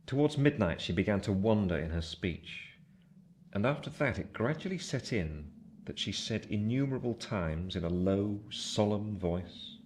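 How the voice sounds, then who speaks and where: An actor playing a grave gentleman narrator recounting his past in a radio play, with solemn, literary phrasing. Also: lowish voice, lively intonation, moderate pace, slight background noise, natural voice. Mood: somber. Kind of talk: dramatic scripted narration.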